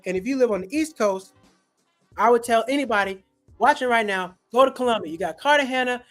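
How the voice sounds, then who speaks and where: A comedian hosting a casual livestream chat, telling stories in an animated, slangy way. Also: mid-range voice. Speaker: host and comedian.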